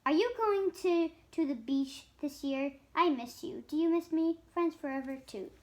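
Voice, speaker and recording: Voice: high-pitched, moderate pace. A child of about seven or eight reading a picture book aloud, stumbling now and then on harder words. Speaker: young reader.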